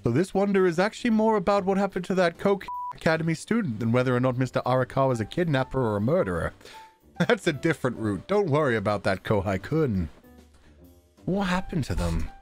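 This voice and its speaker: A man's voice: mid-range voice, animated, fairly quick, faint music behind. A man livestreaming a game, playfully commenting and reading dialogue aloud with a theatrical, joking manner. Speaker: streamer.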